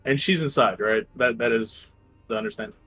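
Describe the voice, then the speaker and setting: A man's voice: medium pitch, big pitch swings, fairly quick, vocal fry, sharp tone, faint music behind. A man talking casually as a tabletop game player, hesitantly thinking aloud about his moves.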